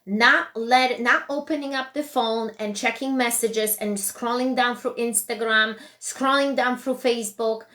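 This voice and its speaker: A woman's voice: on the high side, steady tone, unhurried, hardly any pauses, sharp tone. A woman with a Polish accent, a non-native English speaker, giving an earnest motivational talk to viewers of a live stream, restarting words and repeating phrases. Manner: earnest, repetitive, frequent restarts. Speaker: host and coach.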